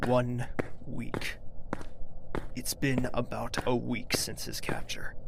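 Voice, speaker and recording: A man playing a brooding narrator in a fantasy drama, in a gravelly near-whisper. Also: mid-range voice, completely flat delivery, slow, low-quality recording. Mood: ominous.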